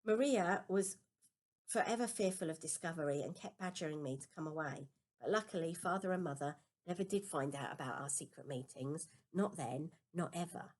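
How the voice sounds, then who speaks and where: Lower-pitched woman reading a storybook aloud. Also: moderate pace, a little mellow. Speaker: amateur audiobook reader. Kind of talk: storybook narration, first-person children's novel read aloud.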